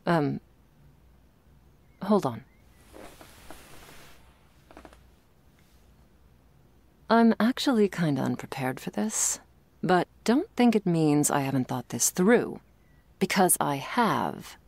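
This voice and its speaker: A woman playing a confident, teasing but vulnerable girlfriend in an intimate ASMR romance roleplay, confiding tenderly and haltingly, often dropping into breathy whispers. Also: low voice, very animated, darkish tone, no echo, exaggerated voice. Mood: nervous, loving.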